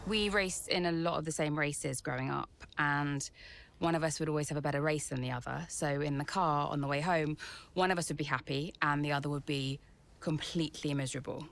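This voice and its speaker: A woman's voice: low voice, steady tone, brisk, gravelly, a bit bright. A woman playing a resentful, defensive racing driver in a video game, plain-spoken and reproachful. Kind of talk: dramatic game cutscene dialogue.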